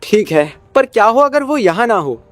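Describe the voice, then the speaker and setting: A man's voice: sits high, sing-song, moderate pace. A man playing an earnest, unsure young boy, a starstruck superhero fan in a video game, eager yet hesitant. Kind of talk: video game voice acting, Hindi dub.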